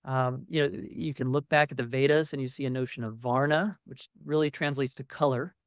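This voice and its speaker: A man's voice: mid-range voice, steady tone, a little fast, clean tone, no room sound. Man giving a conversational academic lecture, dry sound. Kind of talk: public academic lecture with slides.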